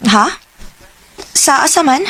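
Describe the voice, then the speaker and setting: A woman's voice: high voice, expressive, slow, pushed and bright, full character voice. A woman playing a shy, eager young woman in love in a radio drama. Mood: polite, nervous.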